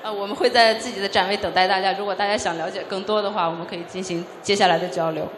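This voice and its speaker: A woman doing consecutive interpreting at a conference, measured and pausing with frequent fillers. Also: medium pitch, steady tone, background noise. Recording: echoey hall with faint ambience.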